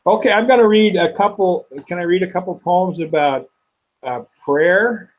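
A man, a poet and guest, speaking slowly and haltingly with many fillers in an interview. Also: high voice, dull audio. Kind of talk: interview and poetry reading.

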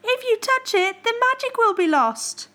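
A woman's voice: very high-pitched, sing-song, normal speed, bright, forceful tone, pitched above the performer's own voice, exaggerated voice. A woman voicing a grumpy, wistful male bear in a children's storybook, speaking emphatically. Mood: urgent warning.

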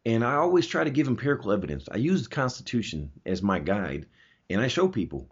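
A man with a rough, husky voice at a medium pitch talks as a show host. He explains his views with emphasis and often asks rhetorical questions. The audio is dull and a little lo-fi. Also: big pitch swings, fast. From Germany. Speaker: talk show host answering listener questions. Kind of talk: opinionated conversational commentary.

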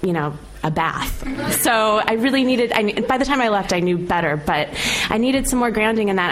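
An American woman from California in her thirties, talking casually and candidly on a panel about her career, with frequent "you know" and light laughs. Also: medium pitch. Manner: chatty and candid, laughs often, many fillers. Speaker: panel speaker, opinions editor. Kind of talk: informal panel talk.

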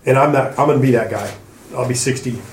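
A man's voice: medium pitch, quick pace. A man in his sixties in casual podcast chat, wry and self-mocking. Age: 60s. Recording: roomy with faint noise.

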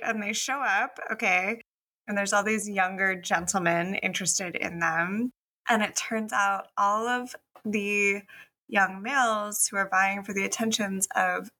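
A woman hosting a casual podcast interview, chatty and quick to laugh. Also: mid-range voice, bright, forceful tone. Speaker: podcast host.

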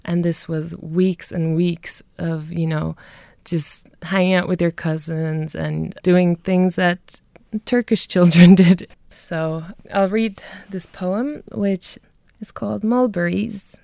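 Low, gravelly-voiced young woman poet. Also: fairly even intonation, mellow, no echo. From Germany. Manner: thoughtful, measured, laughs lightly while talking. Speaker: poet and guest. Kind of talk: poetry reading and interview answers.

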